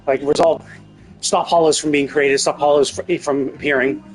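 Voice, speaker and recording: A man gives casual live-stream commentary in a slightly high voice, with music playing behind him. He reasons out loud, often repeating and rewording his points as he theorizes. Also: steady tone, normal speed, low-quality recording. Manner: thinking aloud, repeats and rephrases himself. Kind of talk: casual game commentary, speculating on story. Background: game music.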